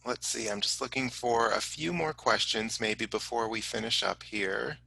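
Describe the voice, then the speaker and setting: A man hosting a casual live question-and-answer program, speaking haltingly as he relays audience questions. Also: mid-range voice, creaky, sharp tone, slightly rough, rough recording. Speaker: host of a science museum online program.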